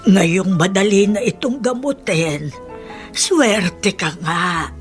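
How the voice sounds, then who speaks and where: An actress playing a resigned, motherly older woman in a radio drama, soft-spoken and halting, with a Filipino accent. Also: medium pitch, lively intonation. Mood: encouraging. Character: older woman, healed leprosy patient, motherly, resigned, living alone. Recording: music under the voice.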